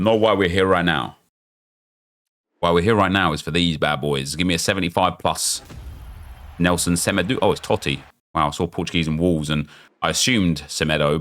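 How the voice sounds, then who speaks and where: A man, chatty and excitable, thinking aloud as he opens packs in a football game, losing his voice and getting unwell. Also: deep voice, fairly quick. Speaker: gaming YouTuber. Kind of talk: casual pack-opening commentary.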